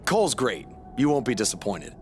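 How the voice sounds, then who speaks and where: A man's voice: mid-range voice, big pitch swings, a little fast, over music, close to an everyday voice. An actor playing an earnest, humble young male rookie wrestler in a video game cutscene, polite and casual. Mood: polite, eager.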